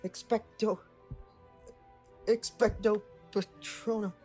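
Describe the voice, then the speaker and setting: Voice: mid-range voice, level tone, takes it slowly, darkish tone, soft background music, natural voice. A performer playing an earnest, determined teenage boy wizard in a fan audio drama. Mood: straining, casting.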